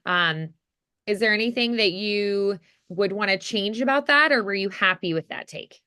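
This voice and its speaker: A woman working as a voice acting coach, chatting casually in an animated, encouraging way and demonstrating as she goes. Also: mid-range voice, normal speed, smooth. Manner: animated, encouraging, acts out examples.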